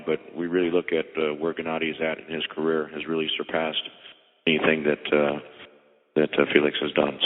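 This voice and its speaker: A man speaking as a boxing promoter, giving measured, halting explanations on a media conference call. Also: very deep voice. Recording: tinny phone-line conference call with reverb.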